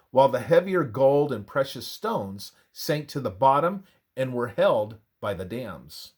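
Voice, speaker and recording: A man reading a scripted historical and religious narration aloud in a measured, deliberate way with emphatic phrasing. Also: mid-range voice.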